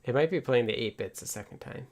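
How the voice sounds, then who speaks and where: A man casually talking through live coding and electronics as a stream host, halting as he thinks aloud. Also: mid-range voice, heavy background noise. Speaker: livestream host and software developer.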